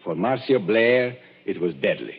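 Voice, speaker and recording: An actor playing a shrewd gentleman detective, unmasking a killer in 1940s radio-drama style, measured and commanding. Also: on the low side, lively intonation, husky, heavily acted. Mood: calm, triumphant. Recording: muffled 1940s radio broadcast.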